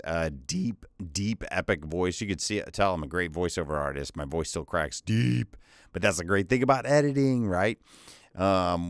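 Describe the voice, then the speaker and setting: A man with a deep bass voice, pitched on the low side, shares freelancing advice in a casual, loose manner with the odd filler and restart. The recording is dry and close, with no room sound. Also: fairly bright tone, dry recording. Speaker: voiceover artist and freelancer teaching viewers. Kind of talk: tutorial with screen walkthrough.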